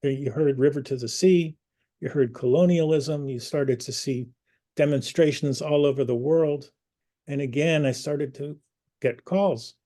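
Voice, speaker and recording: A man hosting an online community meeting, speaking earnestly and personally, pausing with fillers and restarts. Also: on the high side, dark tone. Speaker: community group host.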